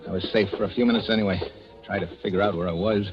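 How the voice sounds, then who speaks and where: A man's voice: fairly low pitch, fairly even intonation, racing, lightly acted. Casting a male actor: framed American everyman, desperate and terse, noir radio thriller, dull old broadcast with music behind. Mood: tense, narrating. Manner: clipped, tense first-person storytelling. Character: desperate hard-boiled American man on the run, framed. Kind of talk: 1940s radio acting, noir narration.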